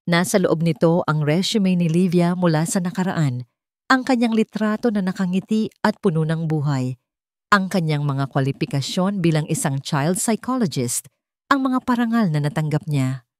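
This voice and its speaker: Lowish-voiced woman narrating a dramatic story. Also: lively intonation, normal speed, no echo, clean studio sound.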